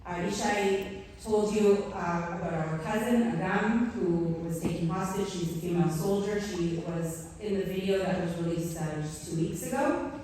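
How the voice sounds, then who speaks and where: A woman's voice: lowish voice, normal speed, dark tone, a little hiss or hum. A Canadian woman in her forties giving an earnest, conversational speech to a community audience, with filler words. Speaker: award recipient giving a speech. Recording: echoey hall, voice from far off.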